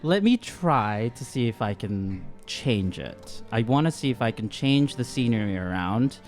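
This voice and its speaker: A man's voice: mid-range voice, animated, moderate pace, a little mellow, faint music behind. A man playing a tabletop game, talking casually and a little tentatively as he works through the action.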